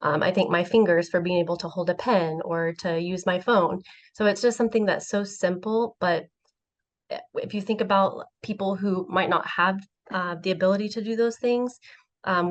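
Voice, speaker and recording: A woman sharing personally and casually on an online call with slightly dull, lo-fi sound. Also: lowish voice. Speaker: community member sharing.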